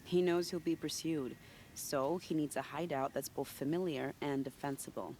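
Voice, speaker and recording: A woman playing a sly, secretive, charming spy in a video game, teasing in a silky, unhurried way. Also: deep voice, steady tone, warm tone, a little hiss or hum, natural voice, rough recording. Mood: sly, confiding. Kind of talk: video game cutscene acting.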